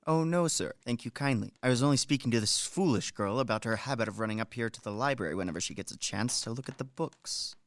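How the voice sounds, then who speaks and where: A man's voice: mid-range voice, fast, few pauses, natural voice. A man in a radio play acting a shrewd, self-important manservant who coaxes and lectures with emphasis. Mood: deferential. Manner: wheedling, instructing, emphatic.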